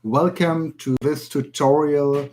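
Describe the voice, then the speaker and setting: A man's voice: a little high, steady tone, slow pace, darkish tone. A man from Germany speaking English with a German accent, presenting a spoken language tutorial and sometimes searching for English words. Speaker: language teacher.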